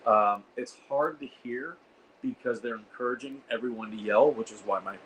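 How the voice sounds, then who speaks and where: A hoarse man hosting a casual livestream, rambling with lots of fillers and reading out the chat. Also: medium pitch, normal speed, a bit bright, slight background noise, poor audio. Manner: rambling, reads chat aloud, frequent fillers. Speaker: host of a veterans livestream. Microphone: iPad.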